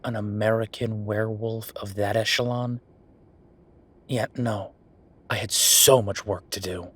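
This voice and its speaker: A man performing a roleplay audio drama as an exasperated werewolf official venting to his partner, weary, sardonic and conversational with emphatic pauses. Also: lowish voice, little pitch movement, husky.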